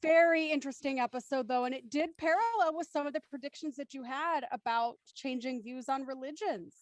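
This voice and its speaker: A woman's voice: high-pitched, fairly quick, dry recording. A woman hosting a conversational discussion, thinking aloud as she works her way into questions. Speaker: host moderating a post-show discussion.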